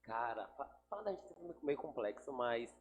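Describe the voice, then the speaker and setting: A man's voice: mid-range voice, normal speed. Chatty man in his thirties. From Salvador, Brazil. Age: 30s. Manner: relaxed, chatty, laughs easily. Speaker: guest, digital influencer. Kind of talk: casual podcast interview.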